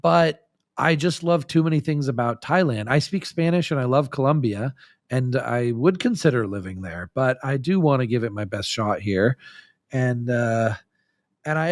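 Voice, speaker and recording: A man's voice: mid-range voice, expressive, moderate pace, no room sound. Man chatting frankly, dry close sound. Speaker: podcast guest. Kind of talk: casual candid chat.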